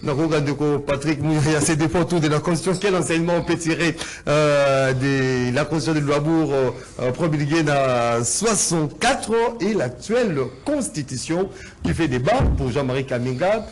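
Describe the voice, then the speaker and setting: A man's voice: a little high, normal speed, poor audio. A man with a Congolese accent hosting a political debate, putting meandering, hesitant questions to his guests. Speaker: host.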